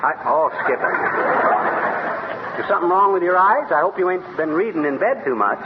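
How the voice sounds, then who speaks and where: A man's voice: high voice, animated, brisk, phone-quality sound. An actor playing a blustery, wisecracking, easily flustered everyman in 1930s radio comedy. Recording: noisy 1930s radio recording, audience behind.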